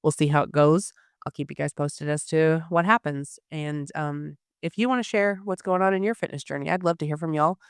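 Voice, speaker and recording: A hoarse woman giving a candid personal health update to her listeners, with frequent pauses and restarts. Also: low-pitched, steady tone, no echo, studio quality. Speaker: podcast host.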